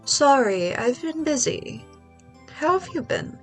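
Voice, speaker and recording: Woman voicing a calm older-sister lawyer. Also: high-pitched, faint music behind, voice pushed up, heavily acted. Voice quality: stuffy. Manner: calm, measured, gently teasing.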